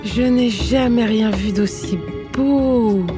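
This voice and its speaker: A woman's voice: a little high, takes it slowly, music in the background. A woman voicing a brave, dreamy young maiden in a fairy-tale cartoon, speaking with earnest wonder. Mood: amazed.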